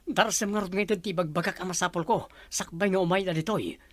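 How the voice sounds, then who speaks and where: A man's voice: sits high, fast talker, no echo. An actor playing a man who keeps pressing to say something urgent in a radio drama. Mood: pleading. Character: pushy man desperate to speak out.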